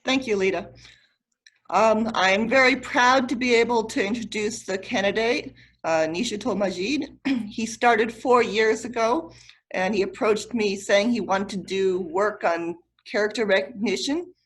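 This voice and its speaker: A woman's voice: mid-range voice, measured pace. A middle-aged woman speaking as an academic committee chair, giving a semi-formal introduction over a video call. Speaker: committee chair and PhD advisor.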